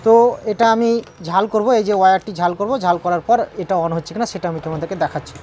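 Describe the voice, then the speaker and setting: A woman's voice: medium pitch, a little mellow, slight background noise. A woman giving an informal hands-on repair tutorial, repeating points and often checking in with viewers. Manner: repetitive, checking in with viewers with tag questions.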